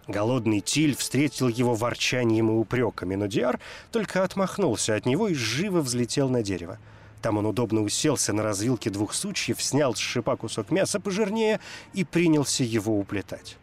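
A male radio presenter with a medium-pitched voice. He speaks about books and tells tales expressively, as a storyteller would. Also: very animated, normal speed. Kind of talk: expressive storytelling and literary talk.

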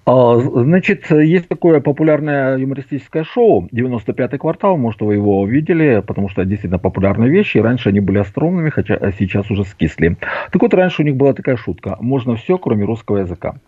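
A man's voice: mid-range voice, animated, fast talker, breathy edge, no room sound. A man telling anecdotes as a guest on a talk show, heard over a phone line.

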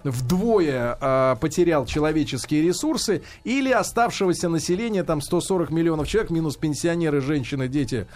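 Male radio host, slightly high voice, informal and joking, steering a conversational studio interview. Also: a little fast, few pauses, darkish tone. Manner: jocular, informal, addresses listeners as friends.

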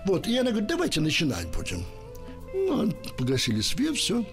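An older man from Ukraine tells an anecdote as a radio guest, his voice leaping up and down as he acts out each quoted line. His voice sits a little high for a man. Soft music plays faintly behind him. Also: huge pitch swings, fairly quick. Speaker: interpreter, interview guest. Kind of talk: storytelling in a radio interview.

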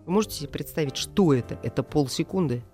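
A middle-aged woman giving thoughtful, halting interview answers as a guest, with emphatic phrases. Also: deep voice, animated, mellow, faint music behind. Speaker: guest, animation film director.

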